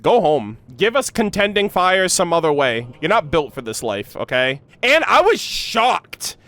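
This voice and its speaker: A woman's voice: fairly low pitch, very animated, a little slow, a bit bright. A woman giving casual, effusive live reaction commentary as a game streamer, slangy and playfully emphatic. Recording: background music.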